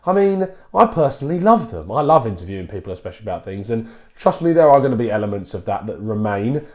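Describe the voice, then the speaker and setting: A man's voice: on the low side, big pitch swings, fast talker. A man hosts a football fan show in a chatty, easygoing way. He drops self-deprecating asides as he leads into the topic. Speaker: host. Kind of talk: casual presenting to camera.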